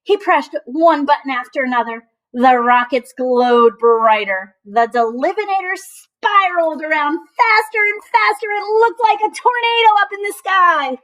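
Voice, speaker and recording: High-voiced woman reading a storybook aloud. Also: a little slow, few pauses, fairly bright tone, poor audio. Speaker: teacher reading aloud to children. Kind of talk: storybook read-aloud with asides to children.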